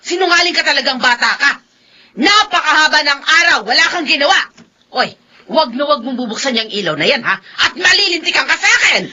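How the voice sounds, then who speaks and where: A woman's voice: high-pitched, expressive, fairly quick, bright, forceful tone, highs cut off, slight background noise, exaggerated voice. A woman playing a harsh, nagging elderly grandmother in a Filipino radio drama, scolding sharply and indignantly. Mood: stern, scolding.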